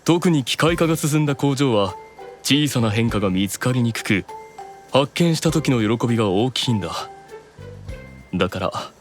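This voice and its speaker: An actor playing a proud, keenly observant demon eldest brother in an anime audio drama, explaining things with deliberate authority. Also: male voice, medium pitch, animated, faint music behind, close to an everyday voice. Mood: measured, knowing.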